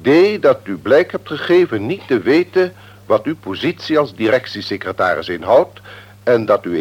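Stern pompous company boss, raspy voice, very up and down delivery, old radio play. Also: male voice, mid-range voice. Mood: stern, reproving. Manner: pompous, officious, measured formal phrasing. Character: stern, pompous male company executive, a superior.

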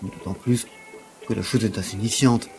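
An actor playing an earnest, self-dramatizing gentleman lover in a French audio drama, speaking in a formal, literary way. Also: lowish voice, steady tone, normal speed, soft background music, natural voice. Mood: self-justifying.

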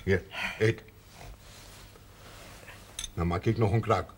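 An actor playing a fussy, jolly older man with a husky, weathered voice who cares for someone in a television drama. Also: on the low side, fairly even intonation, a little hiss or hum. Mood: caring, bossy. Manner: slow, theatrical, self-mocking, breaks into song. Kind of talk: television period drama acting.

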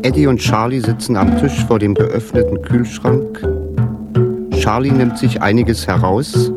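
A man's voice: medium pitch, a little slow, over loud music. A man narrating a German radio play, reading scripted scene directions.

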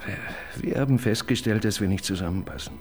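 A man's voice: on the low side, fast, lightly acted. A man playing a flustered young police assistant. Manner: flustered, stammering when pressed. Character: awkward young male police assistant, computer-minded. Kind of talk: radio crime drama acting.

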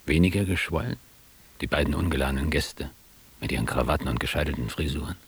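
A man's voice: extremely low pitch, quick pace, husky, exaggerated voice. A man playing a wry, literary narrator and interpreter in a radio drama, speaking with irony. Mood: wry, reflective. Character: wry male interpreter and narrator looking back on friends.

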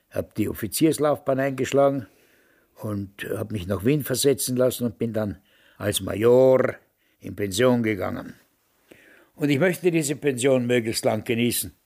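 Gravelly, smug old Austrian man. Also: medium pitch, a little mellow. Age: older, past retirement. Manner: smug, rambling. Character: self-satisfied old ex-Nazi, retired Austrian police major. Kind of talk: radio drama acting, reminiscing.

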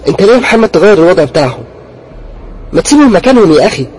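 A frightened young woman with an Egyptian accent pleads and scolds in a shrill rush, voiced by a male storyteller. Her voice sits high above his own, loud and quick. Told as a spoken horror tale. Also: vocal fry, husky, exaggerated voice, poor audio. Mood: scolding. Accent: Egyptian Arabic.

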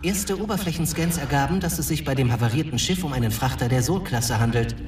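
An actor playing a brisk, official news reporter, reading a scripted bulletin within a radio drama. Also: male voice, on the high side, a little mellow, close to an everyday voice. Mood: formal reporting. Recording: echoey, distant, music underneath.

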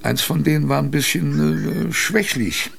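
A man playing an older adventurer who recalls his youth in a creaky voice, with wistful, conversational radio drama narration. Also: on the high side, sing-song, a little slow, a bit bright, faint music behind, full character voice. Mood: nostalgic. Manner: conversational, wistful, reflective storytelling.

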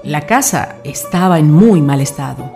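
A woman's voice: deep voice, animated, measured pace, vocal fry, over music. A woman reading scripted narration for a radio drama.

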